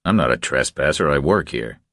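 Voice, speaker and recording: A man voicing a calm, tough drifter hero in an audiobook, laconic, steady and stubbornly protective. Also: a bass voice, a little fast, dry recording, clean studio sound. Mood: calm, firm.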